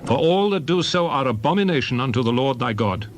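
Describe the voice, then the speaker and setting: A man giving a solemn, declarative, dramatized reading of King James scripture in measured phrases. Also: mid-range voice, animated, a little hiss or hum. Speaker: Bible reader.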